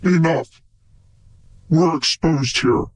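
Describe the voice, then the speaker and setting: A man playing a grim, menacing cyborg in game-style dramatic lines, with pitch-shifted robotic processing. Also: takes it slowly, slightly rough, no echo. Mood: grim warning.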